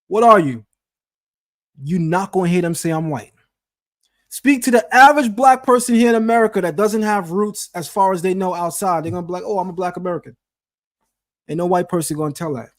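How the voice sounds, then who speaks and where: High-pitched emphatic man. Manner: emphatic, preacher-like call and response. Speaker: host. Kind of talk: casual discussion with a guest.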